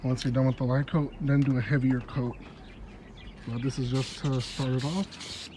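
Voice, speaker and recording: A man's voice: medium pitch, normal speed. Stuffy-nosed man, casual car vlogger talking to his phone outside, easygoing, faint outdoor noise. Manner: relaxed, chatty, laughs often. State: congested, stuffy nose. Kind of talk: casual how-to vlog narration. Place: outdoors in a yard. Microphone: phone held while working.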